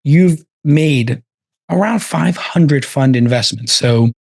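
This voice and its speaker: A man with a raspy voice hosting a podcast in a casual, chatty way. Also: on the high side, slow pace, no echo, studio quality. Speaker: podcast host.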